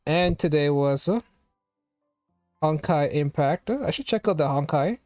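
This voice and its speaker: A streamer talking in a rambling, chatty way at mid pitch, throwing question after question at viewers. The sound is close and dry, with faint game music underneath. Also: expressive, unhurried, darkish tone, no room sound. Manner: rambling, talks to chat with many questions. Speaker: live streamer. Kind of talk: casual live-stream chatter. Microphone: home streaming microphone.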